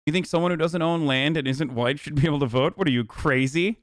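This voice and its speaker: A man streaming live reaction commentary, exasperated, argumentative and quick to swear. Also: on the high side, dry recording, clean studio sound. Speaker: streamer host.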